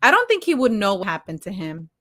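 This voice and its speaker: A woman's voice: mid-range voice, wildly swooping pitch, fast talker, sharp tone. A woman co-hosting a live stream in casual conversation, chatty and informal, with exclamations and slangy asides. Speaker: podcast co-host.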